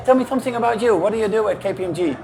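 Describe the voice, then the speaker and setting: A man with a Dutch accent hosting a podcast interview, speaking in simple, halting phrases. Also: sits high, a little mellow. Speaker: podcast host. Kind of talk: casual interview. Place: busy event venue.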